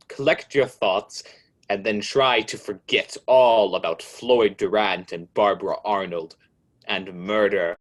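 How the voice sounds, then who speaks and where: A man playing an ominous, all-knowing narrator of a radio mystery, speaking in a deliberate, insinuating way as he taunts a guilty woman. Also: fairly low pitch, a bit bright.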